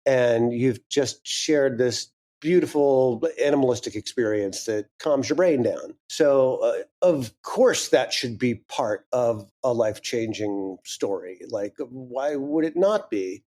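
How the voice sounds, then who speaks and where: A man with a mellow, mid-pitched voice, talking as an interview guest about his own writing. He is candid and reflective, and now and then speeds up into quick bursts. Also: lively intonation. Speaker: audio drama creator and writer.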